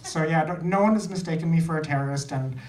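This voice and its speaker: A man's voice: fairly high pitch, fast. A man from India performing a stand-up comedy routine, delivering short phrases with a dry, self-deprecating manner. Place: small comedy theater, on stage before an audience. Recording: echoey club, distant mic, loud noise.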